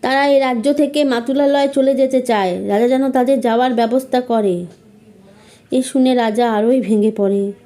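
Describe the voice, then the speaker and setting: Female fairytale narrator: high-pitched, soft mellow tone, expressive storytelling delivery. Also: moderate pace.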